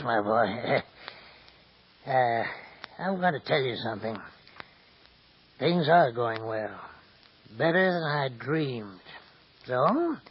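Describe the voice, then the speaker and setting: An actor playing a scheming, gloating crime boss in a 1940s radio drama, speaking slowly and chuckling, on a dull old broadcast with faint hiss. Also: male voice, mid-range voice. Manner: gloating, chuckling, slow and deliberate.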